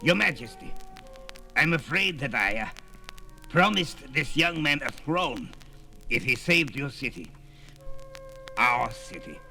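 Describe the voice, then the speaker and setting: An actor playing a wily, weary old wizard in a fantasy audio drama, with a gravelly, sharp voice and slow theatrical delivery. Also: male voice, mid-range voice, sing-song, heavily acted. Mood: sheepish. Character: wily, weary old wizard and father, feeble yet powerful. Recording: reverberant 1970s record with music.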